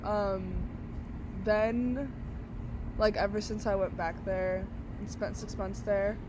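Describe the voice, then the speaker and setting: A woman's voice: medium pitch, fairly even intonation, slow pace. Young woman from brazil rambling casually outside, traffic noise behind her. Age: young adult. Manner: chatty, many fillers and restarts. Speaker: interviewee. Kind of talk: casual interview outdoors. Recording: outdoor street noise.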